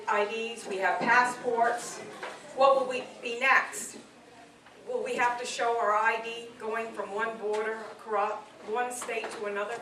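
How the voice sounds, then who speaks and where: A woman with a soft voice giving an earnest speech at a public meeting, pushing her voice to carry. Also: on the high side. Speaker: local taxpayer group speaker. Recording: distant, echoey and noisy room.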